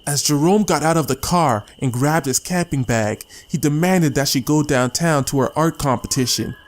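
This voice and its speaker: A man's voice: a little high, moderate pace, a little hiss or hum. A man reading a scripted short story as a dramatic audiobook narrator. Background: music.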